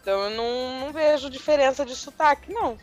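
A woman's voice: high-pitched, fast talker, highs cut off, soft background music. A woman from the Sorocaba area with a rolled interior São Paulo R, chatting on a podcast in a thoughtful, hesitant way. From Votorantim, São Paulo state. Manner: thoughtful, hesitant, many fillers. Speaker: podcast co-host. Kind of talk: casual chat.

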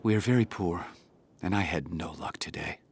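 Husky, humble poor fisherman. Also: deep voice, moderate pace. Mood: worried, downcast. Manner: hesitant, apologetic. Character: humble, poor young fisherman, quiet and anxious. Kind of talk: children's audio folktale drama.

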